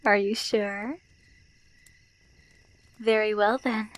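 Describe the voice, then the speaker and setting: Woman playing a slow, menacing werewolf girl. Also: mid-range voice, steady tone, no room sound. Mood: possessive teasing. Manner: slow, teasing, domineering, dropping into whispers. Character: menacing, possessive female alpha werewolf taunting her captive. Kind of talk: ASMR roleplay.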